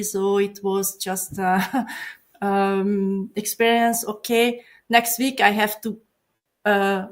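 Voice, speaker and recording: A woman speaking English as an interview guest. She talks haltingly, with many filler pauses. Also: medium pitch. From Hungary. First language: Hungarian. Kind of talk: casual interview answers.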